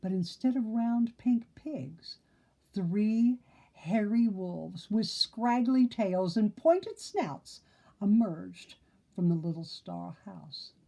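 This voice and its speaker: A woman reading a children's story aloud in an expressive narrating style. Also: medium pitch, takes it slowly, creaky. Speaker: storybook reader.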